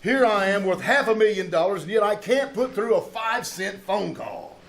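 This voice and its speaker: Actor as a creaky, high-pitched fussy rich man. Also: lively intonation, moderate pace, fairly bright tone, full character voice. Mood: exasperated. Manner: whiny, complaining. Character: self-pitying, fussy rich businessman. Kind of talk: 1940s radio acting.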